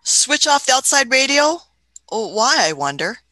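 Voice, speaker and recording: Woman playing a bright-voiced, canny, doddering doctor. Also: sits high, very animated, normal speed, dry recording, full character voice. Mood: wry, probing. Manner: hesitant, tutting, old-fashioned politeness with chuckles. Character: canny, doddering, politely skeptical traveling doctor. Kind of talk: radio play acting.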